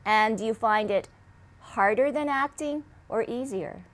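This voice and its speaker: A woman hosting a casual interview, upbeat and animated, laughing often. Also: fairly high pitch, a little slow. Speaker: host.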